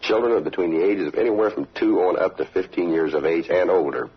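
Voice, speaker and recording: Deep, husky American soldier on old radio. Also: male voice, quick pace. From United States. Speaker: soldier giving eyewitness account. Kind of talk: recorded interview testimony. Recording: dull old radio interview recording.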